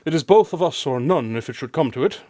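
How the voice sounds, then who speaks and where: A man's voice: fairly high pitch, animated, fast talker, mellow, no echo, natural voice. A man playing a courteous, self-assured detective with dry wit and formal, gallant phrasing in a radio drama.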